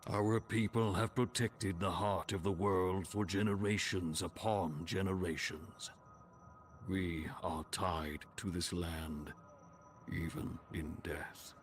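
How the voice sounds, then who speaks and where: A man's voice: deep voice, fairly even intonation, takes it slowly. A man voicing a stern, proud ancient chieftain's spirit in a fantasy game, speaking in grave, formal oratory. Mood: solemn, instructing. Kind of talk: scripted fantasy game voice acting.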